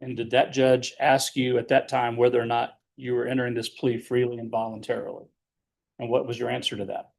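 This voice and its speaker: A man working as a defense attorney, questioning his client in court in a measured, explanatory way with leading questions. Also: mid-range voice, little pitch movement, gravelly, a little mellow.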